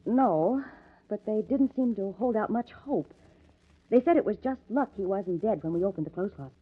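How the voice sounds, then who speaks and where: A curious, coaxing lady friend in old-time radio crime style. Her voice is slightly rough and husky. The recording is narrow and tinny, like an aged broadcast. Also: medium pitch, lively intonation, normal speed. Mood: teasing, curious. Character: artist's familiar, inquisitive woman friend. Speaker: radio drama actress. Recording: thin, band-limited vintage radio recording.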